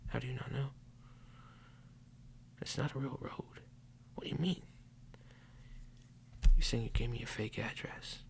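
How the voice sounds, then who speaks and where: A man whispering a playful mock police interrogation as an ASMR roleplay, slow and teasingly accusing. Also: medium pitch, fairly even intonation, vocal fry.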